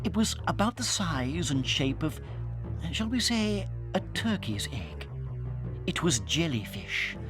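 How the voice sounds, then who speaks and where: A man narrating a children's story in a measured, dramatic storytelling manner. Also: on the high side, big pitch swings. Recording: studio narration over music.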